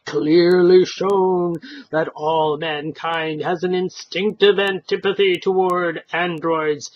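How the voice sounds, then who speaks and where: A woman's voice: fairly low pitch, steady tone, takes it slowly, lo-fi sound. A woman voicing a coldly menacing male android who lectures formally and pompously in a storybook reading. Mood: lecturing.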